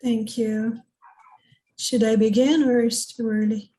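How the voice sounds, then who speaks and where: A woman's voice: a little high, steady tone, unhurried, dark tone, poor audio. A woman with a Brazilian Portuguese accent, a native Portuguese speaker, giving friendly, chatty opening remarks as a conference presenter over a video call, with some fillers and restarts. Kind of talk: informal remarks before an academic paper. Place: home or office in North Carolina.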